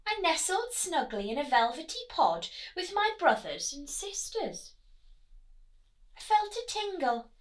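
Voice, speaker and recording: A woman's voice: sits high, sing-song, a little slow. A woman voicing a small, self-important pea that earnestly tells its life story in a children's storybook. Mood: proud, wistful. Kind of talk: children's storybook read-aloud.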